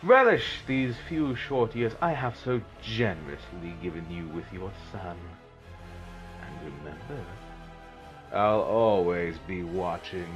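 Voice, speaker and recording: A man playing a menacing, mocking evil sorcerer in an audio drama, with a theatrical, drawn-out, sneering delivery. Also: deep voice, lively intonation. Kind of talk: fan audio drama villain acting. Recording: dull, muffled recording with music behind.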